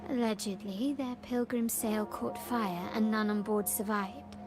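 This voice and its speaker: A woman's voice: medium pitch, fairly even intonation, unhurried, close to an everyday voice. A woman playing a refined, cordial young lady in a fantasy video game, speaking in a polite, articulate, genteel way. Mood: hushed, explanatory. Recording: game dialogue over background music.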